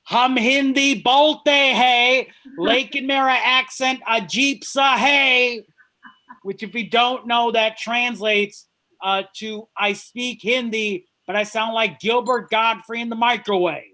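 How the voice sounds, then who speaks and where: A man closing a stand-up set with slow, deadpan delivery. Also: very high voice. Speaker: stand-up comedian.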